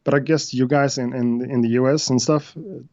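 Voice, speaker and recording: A man's voice: medium pitch, fairly even intonation, a little fast, darkish tone, dry recording. Swedish man, hesitant, dry lo-fi call. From Sweden. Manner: hesitant, many uhs and restarts. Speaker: guest beatmaker. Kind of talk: casual interview chat.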